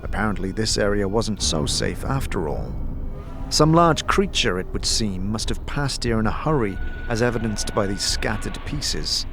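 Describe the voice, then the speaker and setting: A man narrating a fantasy audio drama in the second person, with a deliberate, descriptive storytelling delivery. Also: fairly low pitch, expressive, vocal fry, music in the background. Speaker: narrator and game master.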